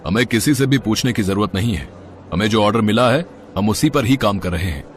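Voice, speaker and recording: A man's voice: lowish voice, animated, a little fast, slight background noise, natural voice. A man playing a stern, bullying food inspector in a moral story, officious and commanding. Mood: harsh, commanding. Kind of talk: storybook character acting.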